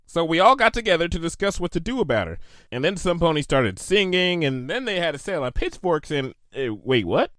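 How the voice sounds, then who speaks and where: A chatty mare from a pony storybook, played by a man, with a raised pitch and lively intonation. She gossips freely and sounds skeptical, in a dry recording. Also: fairly quick, dry recording. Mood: gossipy. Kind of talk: fan fiction storybook character voice.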